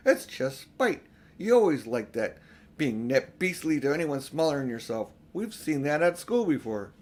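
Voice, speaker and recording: Man reading as an indignant, high-pitched older brother. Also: very animated, normal speed. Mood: angry, scolding. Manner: emphatic, scolding. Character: indignant older brother, a boy, scolding and protective. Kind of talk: storybook character reading.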